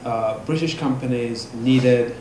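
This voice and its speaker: A Nigerian man whose first language is Igbo, giving a public lecture in a measured, halting way with many fillers. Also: medium pitch. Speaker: guest speaker. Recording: echoey room with noise.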